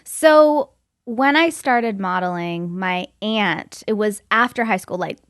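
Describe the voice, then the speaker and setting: A woman's voice: medium pitch, takes it slowly, no room sound, studio quality. A woman from Belgium telling personal stories as an interview guest, in an informal, chatty way sprinkled with "like". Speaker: guest, model and entrepreneur. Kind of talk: casual interview storytelling.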